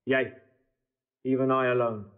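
A man's voice: mid-range voice, flat delivery, a little slow, smooth, close to an everyday voice. Thin-sounding confessing villain acted by a man. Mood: defiant. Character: confessing villainous henchman, proud and bitter. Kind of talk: classical Shakespearean radio acting. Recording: thin, phone-like band-limited audio.

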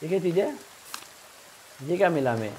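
Young male gamer, slightly high voice, casual thinking-aloud commentary, faint crackling noise behind. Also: animated, normal speed, mellow. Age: young adult. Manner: thinking aloud, repeats himself. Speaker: gaming streamer. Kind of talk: casual let's play commentary.

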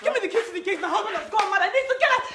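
A woman's voice: mid-range voice, sing-song, takes it slowly, heavy background noise. A woman with a Nigerian accent playing a distressed but defiant wife in a melodramatic film, emphatic and repeating herself. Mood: panicked, pleading. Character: defiant, distressed wife standing up to her husband.

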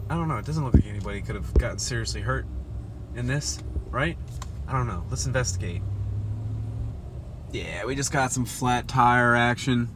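A man's voice: mid-range voice, fairly bright tone. A young man chatting casually to the camera while driving, rambling and joking with goofy outbursts, filmed at arm's length. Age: young adult. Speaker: fishing vlogger. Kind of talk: casual vlog talk to camera. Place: inside a pickup truck while driving. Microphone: phone or action camera at arm's length. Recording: road noise from a moving car.

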